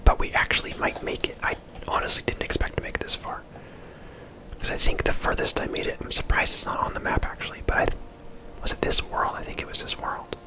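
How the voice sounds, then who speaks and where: An ASMR video host whispering casual, rambling gameplay commentary in a breathy, unvoiced voice. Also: fairly quick, low-quality recording. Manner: soft-spoken, rambling, casual.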